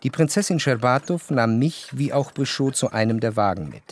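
A man playing a reflective, cultured narrator in a radio drama, speaking measured, articulate standard German. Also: mid-range voice, lively intonation, slight background noise, lightly acted. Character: cultured first-person narrator, reflective society observer.

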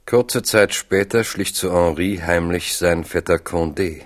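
A man narrating a historical radio play as a measured storyteller. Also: deep voice.

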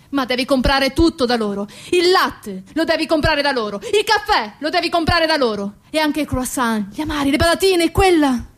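A woman's voice: high voice, pitched above the performer's own voice. A woman playing a desperate, worn-out male bar owner, pleading and insistent in a stage monologue.

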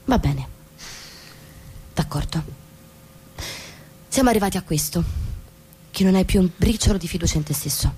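Husky, intense wife. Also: female voice, fairly low pitch, expressive, moderate pace, pauses often, dark tone. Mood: agitated. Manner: intense, insistent, emphatic repetitions. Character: frustrated, fervently persuasive young American suburban wife. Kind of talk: stage-style radio drama dialogue.

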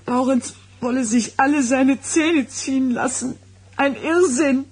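An actress playing an anxious, hurt pregnant wife in a German radio drama. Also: female voice, high-pitched, unhurried, a little mellow, husky. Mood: distraught.

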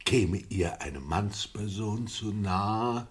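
Slow, hesitant, mild gentleman. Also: fairly low pitch. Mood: musing. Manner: hesitant, deliberate. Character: mild, hesitant, simple-hearted gentleman brother. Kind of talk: radio play period drama.

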